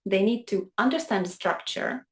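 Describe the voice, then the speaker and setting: A woman from Hungary, speaking English with a Hungarian accent, tells her story in a warm, earnest way and laughs easily. Her voice sits at an ordinary middle pitch, heard over a video call. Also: moderate pace. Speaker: piano teacher, interview guest. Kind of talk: conversational storytelling.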